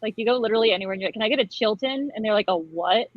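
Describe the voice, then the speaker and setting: A woman's voice: mid-range voice, rapid-fire, no echo. A woman chatting casually and jokingly with friends over lo-fi online audio. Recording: dull, dry online audio.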